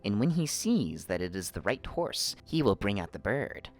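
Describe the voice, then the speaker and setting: A man's voice: on the low side, big pitch swings, moderate pace, faint music behind. A man voicing a cunning old fox who gives wise, emphatic counsel in a storybook reading. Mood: grave counsel. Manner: instructing, emphatic.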